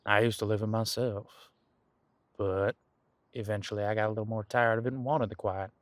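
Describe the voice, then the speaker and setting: An easygoing ancient demon detective played by a man talks in a low voice, close and dry. He muses in a folksy, laid-back way, as if chatting with a companion in an audio roleplay. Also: steady tone, a little fast, no room sound, close to an everyday voice. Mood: relaxed, musing. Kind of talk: conversational one-sided audio roleplay.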